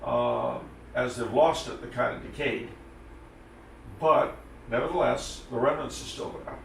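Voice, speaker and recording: A man's voice: medium pitch, measured pace, gravelly, fairly bright tone. A man whose first language is French, giving dry, sarcastic, wide-ranging answers as an interview guest. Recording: echoey room, distant mic, faint noise.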